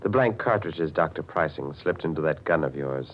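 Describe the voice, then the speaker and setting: A deep, measured man of old radio mystery, calm and quietly vengeful. The sound is narrow-band with faint hiss. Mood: coolly triumphant. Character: calm, vengeful man springing a trap on a murderess. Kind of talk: 1940s radio drama acting. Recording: narrow, hissy 1940s radio recording.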